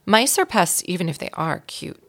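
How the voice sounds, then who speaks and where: Woman as a doubtful young schoolteacher, creaky voice. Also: fairly low pitch, sing-song, normal speed, fairly bright tone.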